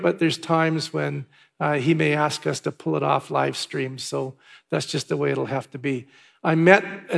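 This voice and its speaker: A pastor from Canada giving church announcements and a sermon in a chatty way, with asides and filler words. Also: male voice, fairly high pitch, vocal fry. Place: large church hall. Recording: long hall reverb.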